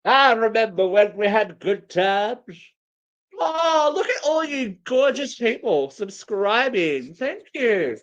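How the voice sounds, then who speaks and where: A man's voice: high-pitched, very animated, slow, a bit bright, dull audio. A man co-hosting a live show in casual banter, with a cheeky, campy manner. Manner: cheeky, campy, gushing asides. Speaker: co-host of a live online show.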